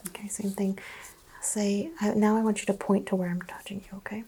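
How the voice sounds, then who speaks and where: A woman role-playing a medical examiner in soft-spoken ASMR, murmuring gentle instructions and often slipping into whispers. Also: medium pitch, little pitch movement, a little fast, breathy edge.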